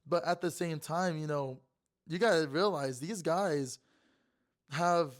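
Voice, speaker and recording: A young man with a naturally raspy voice that sounds hoarse from being sick, talking through a sports monologue in a loose, rambling way. He stumbles over words and repeats himself often, and his voice sits a bit higher than most men's. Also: takes it slowly. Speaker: sports show host. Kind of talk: casual sports commentary monologue.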